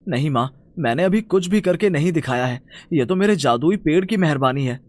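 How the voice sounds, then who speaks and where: A man's voice: fairly high pitch, expressive, a little fast, natural voice. A man playing an earnest, dutiful young village son in a cartoon fairy tale, speaking emotively. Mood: grateful, earnest.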